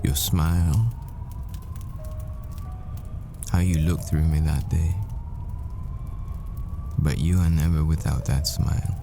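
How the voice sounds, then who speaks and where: A man playing a grieving, devoted professor boyfriend at the world's end in an intimate audio roleplay, speaking in hushed, halting, brooding phrases. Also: rumbling low, full character voice. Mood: wistful, tender. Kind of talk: intimate ASMR boyfriend roleplay, dramatic monologue. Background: music.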